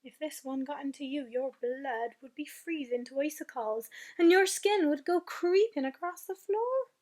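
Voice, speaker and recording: A woman puts on a big friendly giant from a storybook, a high-pitched voice well above her narrating tone that keeps bursting out loud. He mangles his grammar and throws in invented words, swinging between hushed awe and anguished cries. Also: moderate pace, lightly acted. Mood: grave insistent. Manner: broken grammar, made-up words, exclaiming. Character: big friendly male giant, excitable and odd-spoken. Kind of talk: storybook reading.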